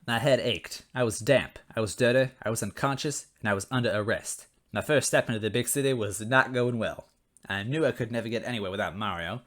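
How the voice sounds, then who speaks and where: A man's voice: mid-range voice, fairly quick, fairly bright tone. A man narrating a comic first-person story as a read-aloud. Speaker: story reader.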